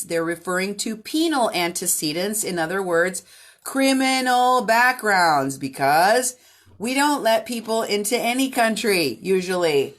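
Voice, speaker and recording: A woman hosting and interpreting into English, talking in a halting way with frequent restarts. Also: medium pitch, a bit bright.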